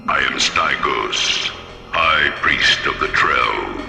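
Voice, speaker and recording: Cavernously deep alien high priest. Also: male voice, expressive, creaky, pushed and bright, heavily acted. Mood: sinister, commanding. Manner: slow, portentous, formal. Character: ancient alien high priest, menacing, solemn and imperious. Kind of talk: vintage science fiction radio drama acting. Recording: cavernous echo, music underneath.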